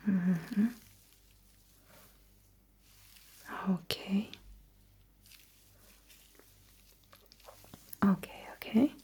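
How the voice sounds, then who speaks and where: A woman playing a calm, attentive alien battlesuit technician in a hushed, breathy ASMR sci-fi roleplay, giving slow and deliberate instructions. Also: mid-range voice, slight background noise, natural voice. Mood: softly encouraging.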